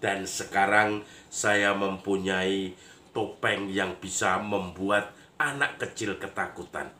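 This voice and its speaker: Hoarse priest guy with a cold, lowish voice, slow and chuckly, echoey room. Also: pushed and bright, slight background noise. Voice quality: husky, a little nasal. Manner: chuckles often, storytelling; playful, mimics lion dance sounds. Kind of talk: homily.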